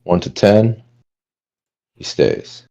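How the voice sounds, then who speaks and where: Deep gravelly player on a dull mic. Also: male voice, sing-song, very slow, warm tone. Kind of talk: casual game chat.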